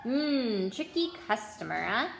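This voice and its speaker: A woman's voice: fairly high pitch, sing-song, measured pace, gravelly, roomy sound, a little hiss or hum, heavily acted. A woman voicing an eccentric old male wand seller in a storybook, eager and eerie, musing and repeating words to himself.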